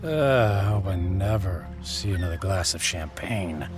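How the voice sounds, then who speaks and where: A man's voice: low-pitched, expressive. Weary, wealthy man, music underneath. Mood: groggy, confused. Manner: hesitant, halting, sighing. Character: wealthy, weary, miserable man returning home, curt and guarded. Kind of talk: radio drama acting.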